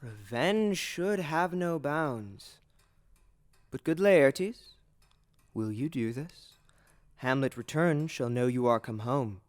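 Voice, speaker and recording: A male actor playing a scheming, manipulative king in a Shakespearean audio drama, speaking in a deliberate, persuasive, theatrical way. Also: medium pitch, animated. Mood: scheming, goading. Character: scheming usurper king, smooth, manipulative middle-aged man.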